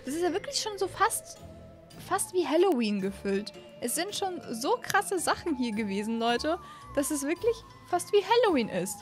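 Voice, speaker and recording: High-voiced young woman chatting over a game. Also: big pitch swings, normal speed, faint music behind. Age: 20s. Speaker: host of a gaming channel. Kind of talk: casual let's-play commentary. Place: small indoor streaming room at home. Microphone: close studio microphone on an arm.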